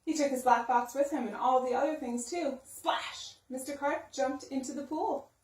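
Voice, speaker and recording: A woman's voice: sits high, moderate pace, darkish tone, a little hiss or hum. A woman narrating a children's storybook expressively, with simple, emphatic phrasing for young listeners. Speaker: story-time reader. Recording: roomy sound, distant microphone.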